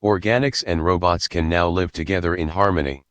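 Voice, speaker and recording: A man's voice: low voice, steady tone, brisk, a bit bright, no room sound, highs cut off. A man narrating, reading a story script aloud.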